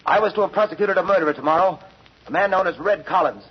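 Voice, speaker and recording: An actor playing a formal, forceful man speaking at a secret tribunal, oratorical and insistent, in 1940s radio drama style. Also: sits high, fast, husky. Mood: defiant. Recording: muffled old radio recording.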